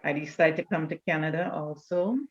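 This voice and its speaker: A woman's voice: low voice, steady tone, brisk. Older woman, muffled recording. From Barbados. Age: older, around 70. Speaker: church elder, interview guest. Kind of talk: reminiscing in an interview.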